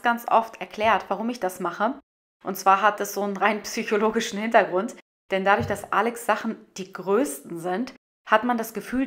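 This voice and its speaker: A woman's voice: medium pitch, a bit bright. A woman in her thirties narrating her household routine in a chatty voice-over, explaining each step and repeating words for emphasis. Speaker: family vlogger.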